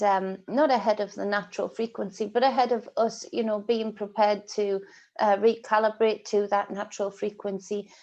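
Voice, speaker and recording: A woman's voice: mid-range voice, darkish tone. A woman tarot reader with a stuffy, sniffly nose, talking in a rambling way full of ums and restarts as she gives a casual spiritual reading. She has a British accent. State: congested, sniffly. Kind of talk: casual spiritual vlog monologue.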